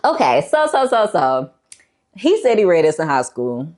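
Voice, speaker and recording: A woman's voice: on the low side, sing-song, moderate pace, darkish tone. A woman talking casually as a book review vlogger, conversational and emphatic, repeating herself to make a point.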